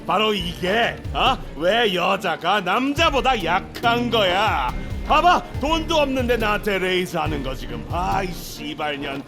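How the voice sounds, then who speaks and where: An actor playing an arrogant, foul-mouthed Korean gambler in an audio drama, taunting and sneering. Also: male voice, high-pitched, lively intonation, rapid-fire, over music. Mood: gloating.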